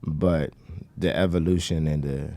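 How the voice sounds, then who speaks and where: A man's voice: extremely low pitch, steady tone, unhurried, warm tone. A man in his thirties talking in casual podcast chat, earnest and preachy in long winding runs. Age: 30s. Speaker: podcast co-host.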